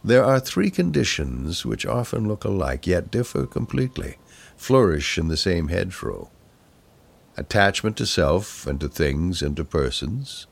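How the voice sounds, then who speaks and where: A man giving a solemn poetry reading in a measured, deliberate manner, pausing between phrases. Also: extremely low pitch, vocal fry. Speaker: poetry reader.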